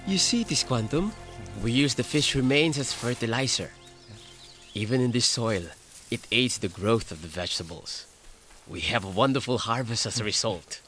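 An actor playing a meek, flustered Spanish monastery helper in a radio drama, hesitant and deferential. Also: male voice, medium pitch, expressive, moderate pace, a bit bright, faint music behind, close to an everyday voice. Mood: kindly.

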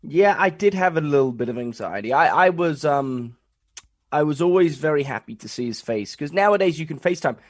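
A young man co-hosting a podcast from home, nasal and congested from a cold, talking in halting restarts. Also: on the high side, expressive, no echo. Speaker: podcast co-host. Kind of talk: casual podcast chat.

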